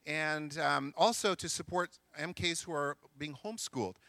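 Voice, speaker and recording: A man's voice: fairly high pitch, moderate pace, vocal fry, pushed and bright, dry recording. A middle-aged man, a missionary school counselor, giving an earnest, joking church missions talk. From grew up in Sri Lanka, India and Egypt. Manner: joking, earnest speaker reading scripture aloud.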